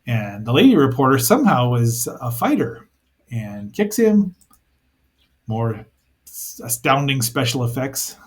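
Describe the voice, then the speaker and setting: A man's voice: medium pitch, sing-song, a little slow. A man hosting a casual review show, rambling and thinking aloud with playful asides as he comments on a cartoon.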